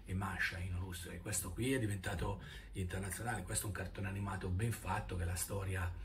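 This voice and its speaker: A man's voice: deep voice, little pitch movement, fast. A man in his fifties reminiscing casually to camera, hesitant and often searching for words. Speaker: vlogger. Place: indoor room at home. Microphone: camera held at arm's length. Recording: noisy amateur phone-style video recording.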